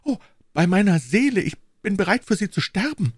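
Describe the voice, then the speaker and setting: A brave young woman servant in a storybook, acted out by a man. The voice is lifted in pitch, eager and pleading, and it reassures with earnest devotion. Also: lively intonation, normal speed, darkish tone, no room sound, clean studio sound. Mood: fervent, loyal.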